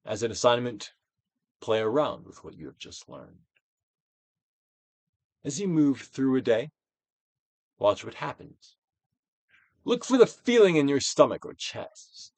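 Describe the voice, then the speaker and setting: A man giving a dramatic, Shakespearean-style reading of a self-help book, deliberate and theatrical, with drawn-out emphasis. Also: sing-song, no room sound. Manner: theatrical Shakespearean delivery, swinging from hushed to booming. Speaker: audiobook reader.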